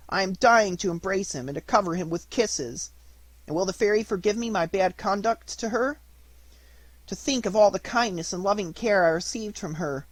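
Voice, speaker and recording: A man's voice: dry recording, rough recording. A man voicing an earnest, impulsive young puppet boy in a storybook, speaking in a plaintive, pleading manner. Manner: plaintive, earnest, high-pitched delivery.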